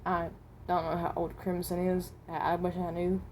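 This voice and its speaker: A haunted animatronic with the soul of a seventeen-year-old, voiced by a woman, speaks in a slightly low voice. The delivery is awkward and guarded, wandering through hesitations and unfinished thoughts like an improvised amateur audio drama. A light layer of noise sits on the home recording. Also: monotone, moderate pace, darkish tone, natural voice. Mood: unsure, musing. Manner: hesitant, rambling, trailing off. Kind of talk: amateur character voice acting, improvised monologue.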